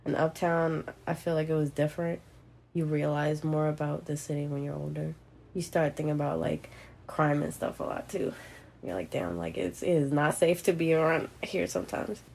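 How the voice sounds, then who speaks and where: A woman's voice: low-pitched, little pitch movement, normal speed, creaky, a little mellow, slight background noise. A young woman born in Puerto Rico and raised around New Orleans, describing her childhood casually with frequent likes. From New Orleans area, born in Puerto Rico. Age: young adult. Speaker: interviewee, younger sister. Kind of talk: casual personal storytelling.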